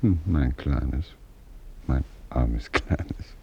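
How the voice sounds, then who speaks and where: Animated novelist husband. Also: male voice, rumbling low, extremely slow, gravelly, breathy edge, highs cut off, full character voice. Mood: fretful. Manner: animated, fussy, then tender. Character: distracted novelist husband, soothing his wife. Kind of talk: radio play acting.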